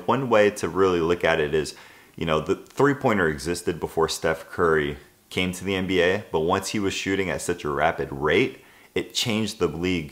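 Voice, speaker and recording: Young man, very low voice, casual sports storytelling, faint music behind. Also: a bit bright. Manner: conversational, with frequent "you know" fillers. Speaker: sports video host. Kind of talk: scripted sports storytelling to camera. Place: living room of an apartment.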